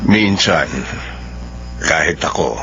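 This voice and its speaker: Deep-voiced, solemn uncle. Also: male voice, dull audio. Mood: sorrowful, reflective. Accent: Filipino. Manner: grave, measured, sorrowful. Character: solemn, compassionate older uncle, a family confidant. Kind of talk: Filipino radio drama acting. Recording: band-limited radio drama audio under music.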